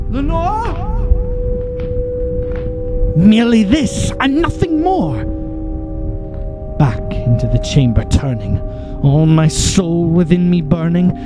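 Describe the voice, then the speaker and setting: A man giving a theatrical, dramatic recitation of a poem, often dropping into hushed whispers. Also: high-pitched. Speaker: narrator performing a poem. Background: music.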